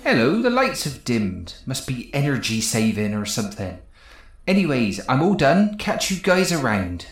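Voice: medium pitch, very animated, moderate pace, lightly acted. An actor playing Bob, a chirpy, oblivious male spaceship cleaner with stilted formality, in a sci-fi comedy audio drama. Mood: puzzled. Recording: roomy echo, some noise.

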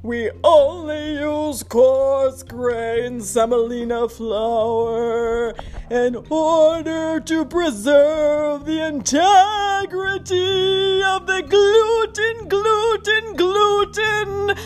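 A woman playing a mock-solemn baritone reciter, reading product copy in a theatrical, overdramatic manner. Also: level tone, drawn-out words, faint music behind.

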